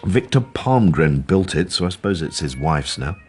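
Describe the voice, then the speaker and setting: A man's voice: low voice, very animated, moderate pace, darkish tone, soft background music. A British man playing a weary, disillusioned police detective in a radio drama, grumbling in plain-spoken style. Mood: bitter grumbling. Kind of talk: naturalistic radio drama acting.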